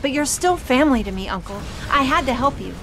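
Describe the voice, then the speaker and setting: An earnest, gentle young heroine from a video game, speaks in a high voice. She sounds sincere and conversational. Music plays behind her. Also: expressive, quick pace. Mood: apologetic, kind. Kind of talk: video game cutscene acting.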